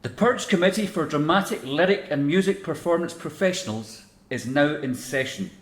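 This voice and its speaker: A man's voice: on the high side, animated, normal speed, lightly acted. A man playing a stern, formal official presiding over a tribunal, declaiming in an audio drama. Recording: echoey, roomy hall sound.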